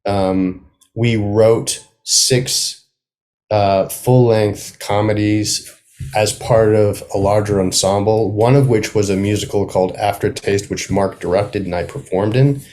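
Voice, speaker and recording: A middle-aged man with a fairly low voice talks casually as an interview guest. He is halting and searches for the right word, with a self-deprecating sense of humor. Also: steady tone, a little mellow. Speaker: guest, playwright.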